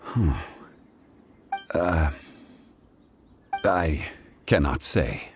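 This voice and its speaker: An actor playing an ancient, weary and dignified god in a video game, speaking in a solemn, formal, measured way. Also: male voice, very deep voice, expressive, very noisy, heavily acted. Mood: reserved, regretful. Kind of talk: scripted video game cutscene acting.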